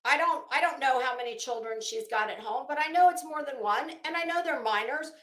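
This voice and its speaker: A woman giving a casual, blunt, profane commentary to viewers, speaking emphatically and haltingly with drawn-out words. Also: high voice, fairly bright tone. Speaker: online commentary host. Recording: roomy echo.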